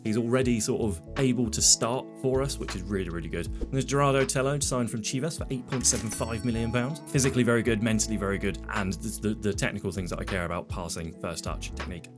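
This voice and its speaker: A man's voice: on the low side, fast, hardly any pauses. Man, stuffy head cold, casual game commentary, self-deprecating, guitar music in the background. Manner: chatty, self-deprecating, trails off mid-sentence. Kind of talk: casual Football Manager commentary. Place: home desk setup.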